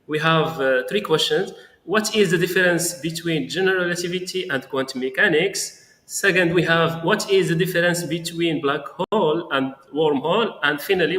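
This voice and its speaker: A man working as an interviewer, putting prepared questions in a halting, hesitant way. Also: fairly high pitch, fairly bright tone. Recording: echoey, reverberant room sound.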